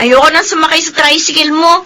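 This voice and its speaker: A weary, deferential maid in a radio drama. She has a fairly high voice and a plaintive, hesitant manner, with faint noise behind her. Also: steady tone, fairly quick, bright, forceful tone. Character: meek, overworked, hesitant female housemaid. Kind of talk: Filipino radio drama acting.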